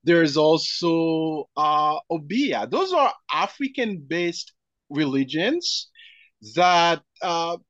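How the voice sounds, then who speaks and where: A man, a scholar answering interview questions in a measured way and spelling out terms letter by letter. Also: fairly high pitch, big pitch swings, fairly bright tone, no echo, muffled recording. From Haiti. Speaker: guest scholar. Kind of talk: academic interview.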